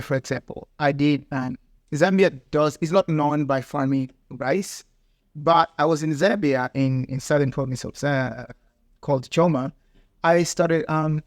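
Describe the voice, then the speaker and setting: A man with a fairly high voice talks earnestly as a guest, halting now and then to find his words. His is Ndau. The recording is dry and close, with no room sound. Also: no echo. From Mozambique. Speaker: guest, farmer and peace builder. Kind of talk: casual interview talk.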